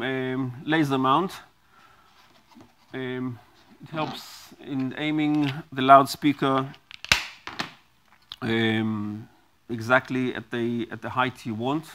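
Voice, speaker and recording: A middle-aged man explaining and demonstrating a device he designed, speaking haltingly with many ums and restarts, in a small studio on a lapel mic. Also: medium pitch, fairly bright tone. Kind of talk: product demonstration.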